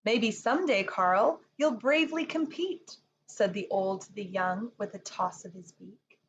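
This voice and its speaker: A woman reading a rhyming children's picture book aloud in an expressive storytelling manner. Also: mid-range voice, a little slow, dull audio. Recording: faint background noise.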